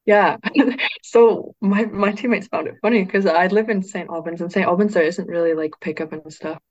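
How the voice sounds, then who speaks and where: A woman's voice: fairly low pitch, normal speed, no echo, lo-fi sound. A teenage girl from Northern England, a youth football player, chatting casually in an interview, often saying "like". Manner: chatty, peppered with "like", excited.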